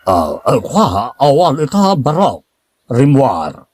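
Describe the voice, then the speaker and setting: A man's voice: medium pitch, wildly swooping pitch, takes it slowly, darkish tone, breathy edge, exaggerated voice. A man with a Filipino accent playing an evasive, stammering older man who is a secret lover in an audio drama. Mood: nervous, evasive.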